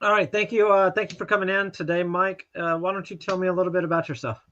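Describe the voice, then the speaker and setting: A man's voice: sits high, level tone, quick pace, a bit bright, a little hiss or hum. A man co-hosting a live stream, playing the interviewer in a hesitant, filler-heavy way. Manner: hesitant, with fillers and repeated words. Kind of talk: casual live-stream chat and role-play interviewing. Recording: dull lo-fi sound.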